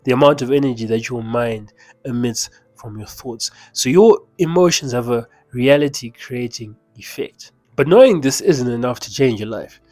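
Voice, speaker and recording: Young Zimbabwean man, mid-pitched, dry close sound, talks to camera like a coach with faint music under him. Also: expressive, moderate pace, a little mellow, dry recording. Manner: emphatic and conversational, with asides and chuckles. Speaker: mindset coach. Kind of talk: casual motivational talk to camera.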